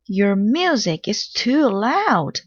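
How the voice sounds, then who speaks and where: A woman voicing a small, tired mouse who keeps pleading for quiet. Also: mid-range voice, sing-song, slow pace, husky, no room sound, highs cut off, pitched above the performer's own voice, exaggerated voice.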